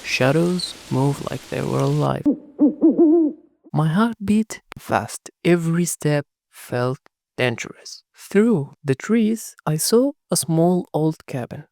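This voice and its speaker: A narrator telling a first-person horror story in a hushed, dramatic scripted reading. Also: medium pitch, lively intonation, slow, creaky, dry recording, clean studio sound.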